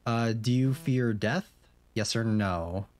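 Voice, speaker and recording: A man's voice: medium pitch, takes it slowly, a little mellow. A young man streaming a game, chatting casually and rambling, with lots of fillers and restarts, and reading on-screen questions aloud.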